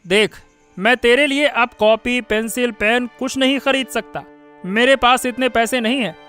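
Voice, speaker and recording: A man plays a harsh village father in a cartoon. His voice is very high-pitched and sharp, blunt and scolding, over faint music. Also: measured pace, clean tone, heavily acted. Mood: dismissive, irritated. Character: harsh, dismissive, hard-drinking village father. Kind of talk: Hindi cartoon story voice acting.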